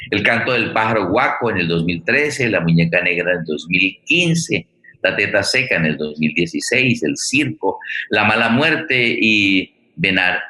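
Deep, bright-voiced Colombian male host. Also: poor audio. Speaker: host of a radio theatre program. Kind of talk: live interview hosting.

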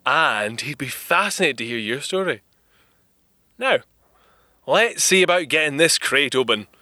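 A young 1930s Scottish inventor for an audio drama. His voice is forceful and bright, with very lively swings in pitch. He is courteous and earnest, choosing old-fashioned words and easily getting flustered. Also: measured pace, no echo, clean studio sound, heavily acted. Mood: polite, eager. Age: 20s. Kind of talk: full-cast audio drama acting.

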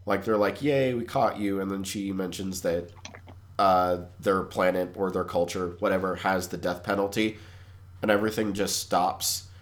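A man co-hosting a podcast in casual chat, relaxed and measured with dry asides. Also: deep voice. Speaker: podcast co-host.

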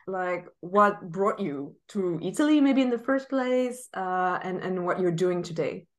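A woman hosting a podcast interview over a video call, bubbly and quick to laugh as she talks. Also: on the low side, expressive. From France. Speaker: podcast host. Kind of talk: casual interview chat.

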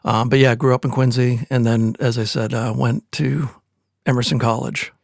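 A man's voice: medium pitch, flat delivery, moderate pace, vocal fry, dry recording, clean studio sound. A man from Boston, a musician guest in an interview, friendly and talkative. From Boston, USA. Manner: friendly, talkative, easy laugh. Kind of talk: interview conversation.

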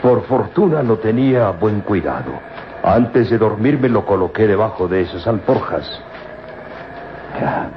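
Older male professor, gentle anxious father, halting and slow, muffled vintage radio serial. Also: lowish voice, expressive, slight background noise. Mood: grave, worried. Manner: hesitant, halting delivery. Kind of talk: old-time radio drama acting. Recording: muffled old radio recording, music behind.

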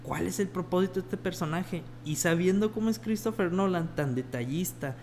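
A man with a somewhat high voice breaks down a film's script in a relaxed, thoughtful way. A faint electrical hum runs under the recording. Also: moderate pace. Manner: reflective, analytical, gives soft backchannel replies. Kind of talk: casual film discussion.

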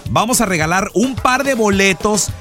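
A man with a Mexican accent hosting a radio show in lively, slangy banter. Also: sits high, moderate pace. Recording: music playing behind. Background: background music.

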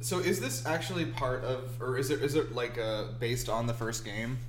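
A man's voice: medium pitch, steady tone, moderate pace, noisy background. A man hosting a casual movie reaction chat in a wry, joking way. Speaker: host.

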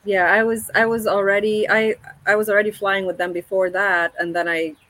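A woman, a paramotor pilot speaking as an interview guest, hesitant with many pauses and restarts. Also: mid-range voice, level tone, fairly bright tone, no room sound. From Egypt. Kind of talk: casual video-call interview.

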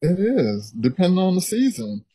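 A man's voice: sits high, very animated, normal speed, mellow, breathy edge, no echo. A man appearing as a guest on a podcast in a casual chat, laid-back and joking.

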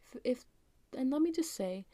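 A young American woman hosting a casual solo podcast, with a slightly raspy just-woke-up voice and a rambling, chatty way of talking full of ums and likes. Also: sits high, animated, normal speed, warm tone, dry recording. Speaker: podcast host.

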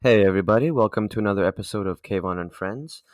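A man hosting a casual interview show, friendly and quick to joke. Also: fairly low pitch, over loud music. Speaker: podcast host.

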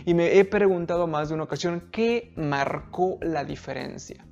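Woman preacher with a Mexican accent, deep voice, earnest and emphatic, on a dull lo-fi stream with faint music. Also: normal speed, gravelly, a little mellow. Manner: earnest, emphatic preaching with direct questions to listeners. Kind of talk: live-streamed sermon.

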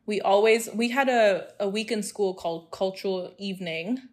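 Animated young woman in casual chat. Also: mid-range voice, normal speed. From Hong Kong. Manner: animated, outspoken, laughs often.

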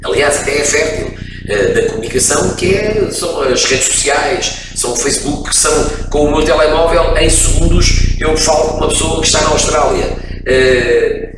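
A man's voice: a little high, moderate pace. A middle-aged man from France who speaks with a European Portuguese accent. He is a radio broadcaster telling stories as an interview guest, with frequent hesitations. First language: French. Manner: expansive storyteller, frequent hesitations. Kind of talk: casual interview, reminiscing. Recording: echoey room, distant mic, background noise.

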